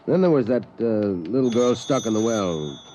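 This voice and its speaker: An actor playing a gruff, jaded newspaper night editor, rambling offhandedly in a 1950s radio drama. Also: male voice, mid-range voice, big pitch swings, normal speed, mellow, lightly acted. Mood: reminiscing. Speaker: news editor. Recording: dull, noisy 1950s radio recording.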